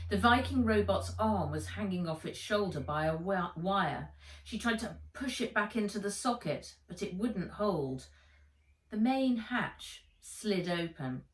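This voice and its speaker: A woman reading a children's adventure story aloud in an expressive, deliberate storytelling manner. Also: lowish voice. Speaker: author reading own book aloud. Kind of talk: dramatized children's audiobook narration. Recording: roomy echo, distant microphone.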